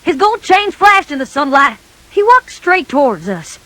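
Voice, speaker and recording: A woman reading a scripted children's story in a folksy, animated storybook manner. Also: high-pitched, a little slow, no echo.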